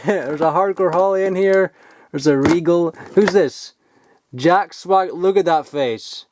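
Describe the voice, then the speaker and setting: A young man chatting casually as he digs through old toy figures, rambling and getting excited. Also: on the high side, dry recording. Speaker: toy collector vlogger. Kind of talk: casual unboxing chat.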